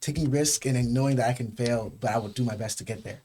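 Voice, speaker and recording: A man's voice: mid-range voice, very noisy. A teenage boy, a class president, speaking enthusiastically and correcting himself as he goes. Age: teens. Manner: enthusiastic, fast, self-correcting.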